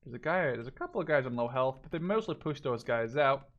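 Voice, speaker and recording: A man's voice: mid-range voice, lively intonation, a little fast, lo-fi sound. A man giving casual live commentary on a video game match, thinking aloud as he watches.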